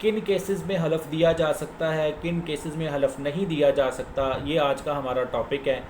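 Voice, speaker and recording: A man's voice: fairly high pitch, steady tone, normal speed, a little mellow. Echoey Pakistani man explaining law. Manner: explanatory, repeats phrases, mixes in English legal terms. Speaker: lawyer giving legal explainers on own channel. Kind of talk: informal law lecture. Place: indoors, office. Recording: echoey room with clear background noise.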